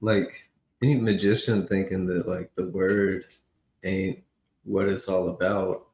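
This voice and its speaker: Male podcast guest, deep, slangy and halting; roomy echo on the recording. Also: flat delivery, a little mellow. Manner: halting, colloquial. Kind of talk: casual chat, slangy. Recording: roomy echo, faint background noise.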